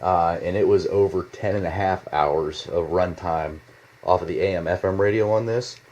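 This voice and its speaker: A man giving a casual, unscripted product demonstration in a halting, rambling, informal way, recorded indoors in a home basement. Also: sits low, darkish tone, a little hiss or hum. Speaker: product reviewer. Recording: slightly dull, lo-fi home video sound.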